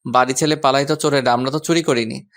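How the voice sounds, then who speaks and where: A man's voice: mid-range voice, fairly even intonation, quick pace, a bit bright, lightly acted. A man playing a devoted, confident young lover in a romantic audio story, speaking firmly and protectively. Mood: reassuring.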